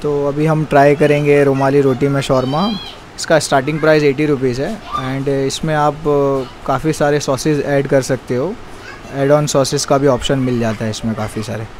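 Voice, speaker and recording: A man's voice: mid-range voice, little pitch movement, normal speed. A man, hosting a food vlog and chatting about dishes and prices. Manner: chatty, promotional, explains prices. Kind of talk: casual food review, street interviews. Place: restaurant in a city street. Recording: street traffic noise behind.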